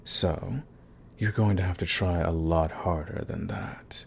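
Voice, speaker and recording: Villain, male actor: deep voice, cool menace, smug and taunting, unhurried threats, audio roleplay. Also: fairly even intonation, vocal fry, fairly bright tone, a little hiss or hum, exaggerated voice. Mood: cool mocking. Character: cocky, menacing male villain, coolly fond of his enemy. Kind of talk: ASMR roleplay acting, addressing the listener.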